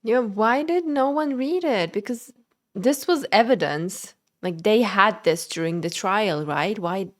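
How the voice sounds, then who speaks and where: A woman's voice: medium pitch, lively intonation, measured pace. A woman livestreaming a video game, commenting and reading the in-game text aloud. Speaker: game streamer.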